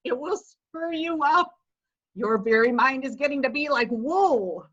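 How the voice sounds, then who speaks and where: A woman reading the part of a brisk, worldly woman who scorns men, in a brisk, lecturing way, for a readers theatre play. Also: sits high, animated, a bit bright, muffled recording, heavily acted.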